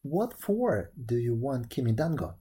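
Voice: medium pitch, sing-song, a little slow, warm tone, slightly rough. A storyteller as a homely, marvelling old woman. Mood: curious. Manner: homely, exclaiming. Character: kindly, marvelling old peasant woman, doting mother. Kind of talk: storybook character voice.

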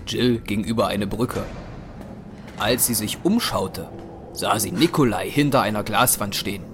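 A man's voice: on the low side, a little slow, noisy background. A man narrating a scripted German audio drama. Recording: music bed under narration.

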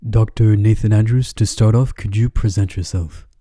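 Formal male podcast host, low and mellow. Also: steady tone, dry recording, studio quality. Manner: formal, measured reading. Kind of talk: scripted interview questions.

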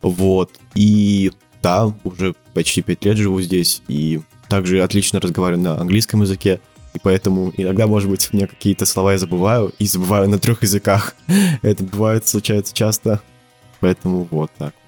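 A teenage man from Siberia talks as a podcast guest, rambling through his answers with fillers and restarts and the odd laugh. His voice sits on the low side. Faint music plays underneath. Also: steady tone, brisk, darkish tone. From Siberia, Russia. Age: teens. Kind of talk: casual podcast interview.

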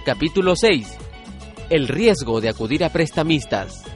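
A man's voice: fairly high pitch, very animated, unhurried. A man announcing a radio drama in a scripted broadcast style. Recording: music behind the voice. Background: music.

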